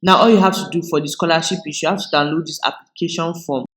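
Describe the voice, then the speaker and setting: A man from Nigeria with a Nigerian English accent, hosting a tutorial video and explaining each step in a relaxed way, with frequent restarts. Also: a little high, fast talker. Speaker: video host. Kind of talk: screen-recorded tutorial walkthrough.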